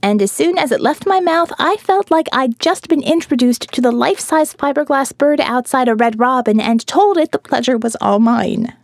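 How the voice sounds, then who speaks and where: Female audiobook narrator, high pitch, expressive, wry, dramatic scripted storytelling, dry studio-like sound. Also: normal speed, hardly any pauses, dry recording.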